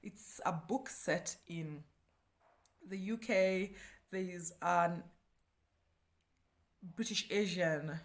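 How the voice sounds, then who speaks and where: Low-pitched Kenyan woman chatting casually. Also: very slow, pauses often. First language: Kikuyu. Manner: chatty, slangy, self-deprecating, breaking often into laughter. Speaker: book review vlogger. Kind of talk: casual chat book review.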